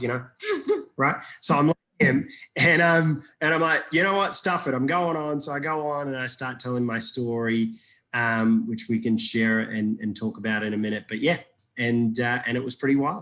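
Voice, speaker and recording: A man's voice: medium pitch, steady tone, moderate pace, a bit bright. A man from Iraq, a guest telling his story in a casual interview, rambling and joking with many restarts.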